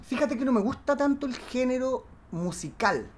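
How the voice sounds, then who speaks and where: A man with a Chilean accent chatting casually as a podcast guest, telling stories in a halting, slangy, animated way. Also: very high-pitched. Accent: Chilean Spanish. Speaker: podcast guest, stage actor. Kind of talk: casual anecdotal chat.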